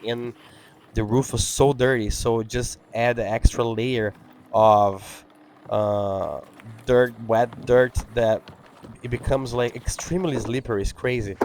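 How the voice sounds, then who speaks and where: A man whose first language is Portuguese, speaking English with an accent as he talks over his window cleaning video, halting and full of fillers. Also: mid-range voice, fairly even intonation, slight background noise. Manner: halting, many fillers and restarts. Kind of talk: casual talk-over.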